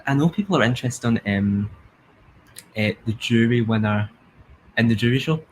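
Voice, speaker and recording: A young man with a Glasgow-area Scottish accent, chatting excitedly and laughing as he comments on a live stream. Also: mid-range voice, normal speed, slight background noise, poor audio. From Scotland.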